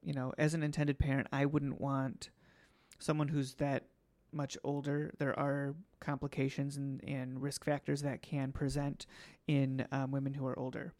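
A man hosting a podcast, slightly hoarse today, explaining things casually with many ums. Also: medium pitch, completely flat delivery, normal speed, darkish tone. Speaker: podcast host.

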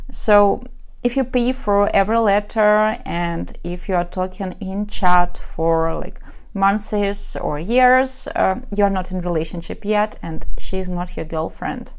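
A woman in her thirties from Ukraine hosts her own podcast as a matchmaker. She talks with confidence and a dry, frank edge, in a voice of middling pitch. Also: measured pace, smooth. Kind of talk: solo podcast monologue.

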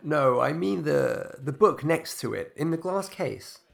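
A man's voice: fairly high pitch, lively intonation, a little slow, gravelly, exaggerated voice. An actor playing a polite, curious semi-retired man new to a village, hesitant and inquisitive, in audio drama dialogue.

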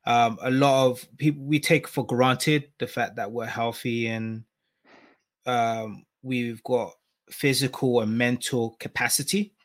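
A man's voice: medium pitch, steady tone, a little slow. A man in a casual group discussion, explaining his views reflectively and often checking in with "right?". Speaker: panel guest.

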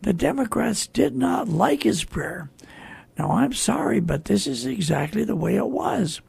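A talk show host with a very raspy, gravelly voice, speaking off the cuff in a halting, deliberate, earnest way. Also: mid-range voice, sing-song, a little mellow, dry recording. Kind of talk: unscripted talk show commentary.

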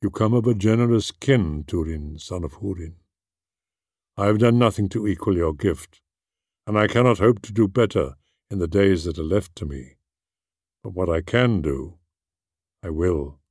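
A man voicing a humble old woodworking servant in an audiobook, speaking gravely. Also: sits low, normal speed, pauses often, darkish tone, no echo, clean studio sound. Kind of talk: audiobook character voice.

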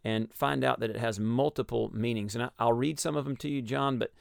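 A man with a Texas accent explaining a Bible passage in a measured, teaching way on a radio discussion. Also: mid-range voice, fairly even intonation, gravelly, dry recording, studio quality. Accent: Texan. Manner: measured, explanatory, with frequent ums and uhs.

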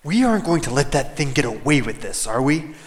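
An actor playing a nervous, deferential rebel underling in an audio drama, hesitant when speaking to a superior. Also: male voice, medium pitch, big pitch swings, brisk, lightly acted. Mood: deferential, uneasy.

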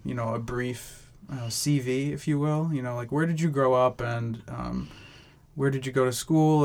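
A man's voice: mid-range voice, darkish tone. A man co-hosting a podcast, asking interview questions in a loose, hesitant way with plenty of fillers.

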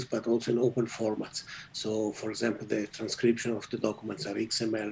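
A man whose first language is Italian, directing an archive and explaining its work in an interview, halting and restarting often. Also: fairly low pitch, vocal fry, darkish tone, husky. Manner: halting, restarts often, laughs at own jokes. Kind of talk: interview conversation.

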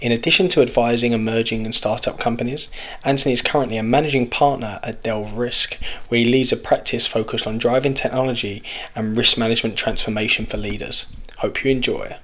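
A man's voice: medium pitch, steady tone, a little fast, fairly bright tone. Man reading a podcast intro. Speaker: show announcer. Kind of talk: scripted introduction.

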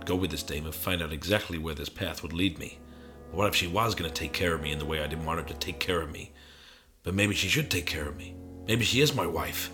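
A man's voice: quick pace, over music, close to an everyday voice. A man playing a confused, suspicious amnesiac hero in an improvised film noir audio play, hard-boiled and prone to stammering. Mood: brooding. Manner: agitated stammering in dialogue, low brooding asides. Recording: clearly noisy background.

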